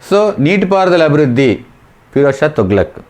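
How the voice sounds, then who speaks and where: A man's voice: fairly high pitch, sing-song, brisk, a little mellow. A man with a Telugu accent working through exam questions as a coaching teacher, explaining informally and repeating key terms.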